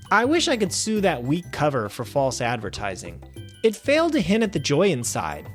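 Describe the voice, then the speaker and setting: A man hosting a film review show, reading a scripted review with wry, animated asides. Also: fairly high pitch. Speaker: film review podcast host.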